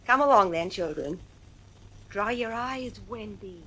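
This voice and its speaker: An actress playing an anxious, soft-hearted, fretful mother in a 1950s radio drama, on a noisy old recording. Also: medium pitch, animated, a little slow. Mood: coaxing.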